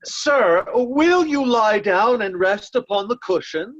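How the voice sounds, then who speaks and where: A woman's voice: fairly high pitch, lively intonation, takes it slowly, highs cut off. A woman playing a loyal, pitying nobleman in a Shakespeare reading. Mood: concerned, soothing. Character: loyal, pitying nobleman Kent, gentle attendant. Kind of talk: remote reader's theatre Shakespeare.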